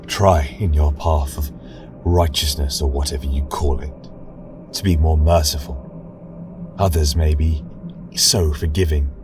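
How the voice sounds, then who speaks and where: Guy doing a burnt bitter old-world warrior, cold n solemn, really slow, fantasy tale w music behind. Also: rumbling low, steady tone, distant mic, voice pushed down. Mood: cold, sorrowful. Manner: slow, solemn, halting pronouncements. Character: scorched, grieving male warrior, bitter, cold, grimly merciful. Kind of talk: dramatic fantasy audio storytelling.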